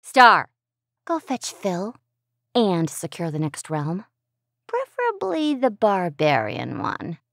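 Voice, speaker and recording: A scheming villainess, played by a woman in an audio drama, drawling her orders with theatrical condescension. Her pitch rises and falls in lively arcs, and her pace is slow and deliberate. The recording is dry and close. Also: creaky, dry recording, full character voice. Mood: commanding. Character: commanding villainous mistress, mocking and scheming.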